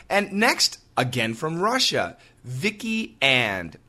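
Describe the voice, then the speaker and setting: A man, hosting an English-learning podcast and reading out listener comments in an upbeat, animated way. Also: on the high side, very slow. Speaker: podcast host and English teacher.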